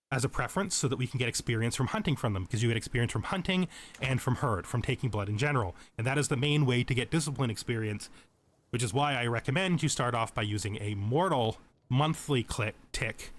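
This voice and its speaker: A man giving unscripted tutorial commentary over a video game, explaining things step by step and often repeating or rephrasing as he goes. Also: mid-range voice. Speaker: tutorial let's play host.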